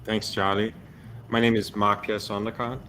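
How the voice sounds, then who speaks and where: A man's voice: on the low side, little pitch movement, normal speed, bright, forceful tone. A man from Denmark, a panel guest introducing his career fluently and with a wry touch over a computer mic on a video call. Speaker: guest, texture artist, podcast host. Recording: faint background noise.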